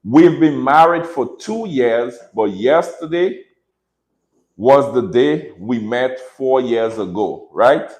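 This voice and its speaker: A man's voice: mid-range voice, animated, takes it slowly, a little mellow. A man from the Caribbean with a Caribbean accent, hosting a casual livestream from home. He talks in an earnest, reflective way, repeats phrases and often checks in with "right?". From Dominica, raised in Saint Martin. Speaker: livestream host and dog breeder. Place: room at home with dogs. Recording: echoey room.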